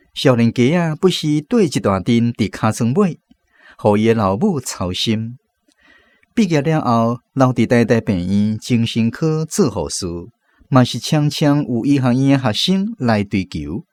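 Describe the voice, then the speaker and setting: A man's voice: mid-range voice, animated, measured pace, darkish tone, dry recording. A man with a Taiwanese accent reading scripted literary narration as the narrator of a radio drama.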